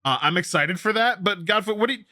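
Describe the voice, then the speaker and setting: A man's voice: high-pitched, quick pace, pushed and bright, no room sound, clean studio sound. A man hosting a gaming news show, commenting casually and enthusiastically on stories with a co-host. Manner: enthusiastic, opinionated, conversational.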